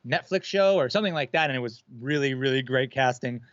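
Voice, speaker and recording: A man co-hosting a live stream, chatting in a relaxed, agreeable way. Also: medium pitch, quick pace, no echo, muffled recording. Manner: laid-back, agreeable, short replies. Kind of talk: casual chat.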